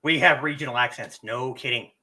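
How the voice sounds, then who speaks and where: A man's voice: medium pitch, a little fast. A man hosting a casual live stream, explaining language tones and chatting with viewers while getting over a cough. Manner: relaxed, chatty, answers chat questions. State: lingering cough from illness.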